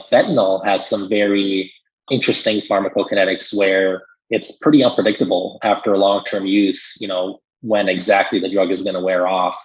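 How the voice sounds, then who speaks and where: A man explaining medical topics conversationally as an expert, in a measured way with frequent "you know" asides. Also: low-pitched, fairly even intonation, breathy edge, low-quality recording.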